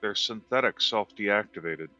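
A man's voice: lowish voice, moderate pace, sharp tone. A man talking casually as a player in an online tabletop game, hesitant and thinking aloud. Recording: dull online voice chat, music behind.

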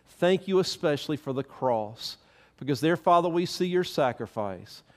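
A man's voice: on the high side, lively intonation, normal speed, darkish tone. A middle-aged man preaching from a church stage, fervent and emphatic, wearing a headset mic. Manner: fervent preaching with rising emphasis, stands and gestures. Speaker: pastor. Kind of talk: sermon and closing prayer.

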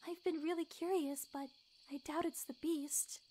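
A woman's voice: sits high, fairly even intonation, normal speed, warm tone, natural voice. A woman voicing a shy, kind, curious young pony in a cartoon, hesitant and sweet. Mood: eager, kind. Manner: timid, hesitant, apologetic, sweet. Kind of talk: animated fan drama acting. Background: background music.

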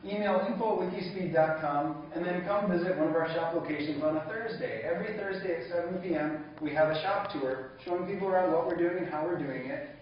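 A man's voice: on the high side, a little fast, few pauses, a little hiss or hum. A man giving an enthusiastic conference keynote, laughing along with the audience at times. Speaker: keynote speaker. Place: large conference hall. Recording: echoey hall, lo-fi, from a distance.

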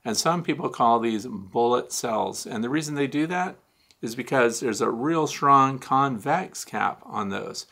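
A man's voice: mid-range voice, lively intonation, measured pace, vocal fry, pushed and bright. Older man explaining beekeeping to camera. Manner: explanatory, poses questions then answers them. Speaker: beekeeping show host. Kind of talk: casual instructional talk to camera. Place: home studio with neon sign, indoors.

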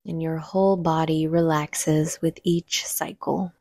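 A woman leading a guided meditation, giving gentle, unhurried instructions with long pauses between phrases. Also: low voice, level tone, creaky, dry recording, clean studio sound.

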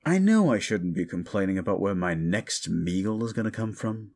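A man playing a condescending, sardonic ancient demon in an audio roleplay, gravelly, with theatrical, mocking delivery. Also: low-pitched, animated, moderate pace, darkish tone. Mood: mocking, scornful. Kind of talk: audio roleplay monologue addressed to the listener.

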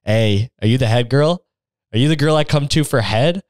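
A man's voice: mid-range voice, moderate pace, darkish tone, dry recording, studio quality, natural voice. A man playing a brash, crude young male student who swaggers, swears and badgers in a comedy bit. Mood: cocky.

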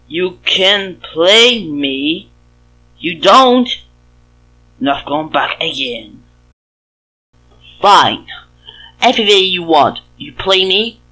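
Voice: medium pitch, animated, drawn-out words, long pauses, sharp tone, rough recording. A person talking in a casual, confrontational style.

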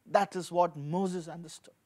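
A man's voice: on the high side, steady tone, normal speed, a little mellow. A man in his forties preaching a sermon as a pastor, reading scripture and repeating key phrases with emphasis into a handheld microphone. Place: indoor studio with dark backdrop, at a lectern.

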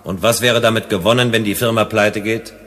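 An actor playing a shrewd, composed investigator in a German science fiction radio drama, speaking in a probing, self-assured way. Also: male voice, lowish voice, fairly even intonation, brisk, fairly bright tone. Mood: calm, probing.

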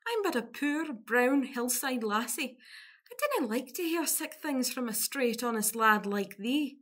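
Woman doing a coy young scottish village girl, high voice, broad dialect, comic radio play. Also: animated, measured pace, a bit bright. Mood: modest, chiding. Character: pretty young country lass, sweet, modest, coy. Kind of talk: farcical radio play acting.

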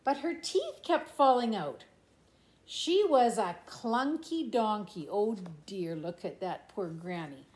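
A middle-aged woman reading a children's picture book aloud in an expressive, playful, sing-song way, with asides about the pictures. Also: mid-range voice, slow pace. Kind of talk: animated children's storybook read-aloud with asides. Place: indoors in a room at home. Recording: echoey room.

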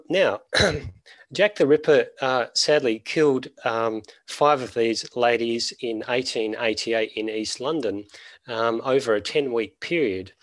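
Man in his forties, mid-pitched, relaxed lecturer on a headset mic, lots of "uh"s. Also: no echo. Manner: conversational, many fillers and restarts. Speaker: keynote speaker, veterinary professor. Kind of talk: conference keynote over video call. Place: small office at home.

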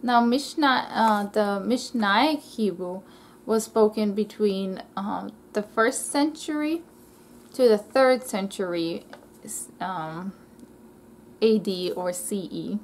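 A young woman from Israel vlogs from her small bedroom, reading notes with plenty of ums and quick self-corrections. Her mid-range voice carries a gravelly edge, and the webcam mic picks up faint room noise. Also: medium pitch. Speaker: language vlogger. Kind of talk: casual educational vlog. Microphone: laptop webcam mic.

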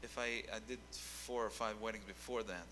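Bright-voiced man on a reverberant stage. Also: on the low side, steady tone, normal speed, a little hiss or hum. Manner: rambling, repeats words while searching. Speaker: guest singer. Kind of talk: casual interview on stage. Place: dark stage with keyboard. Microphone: handheld mic.

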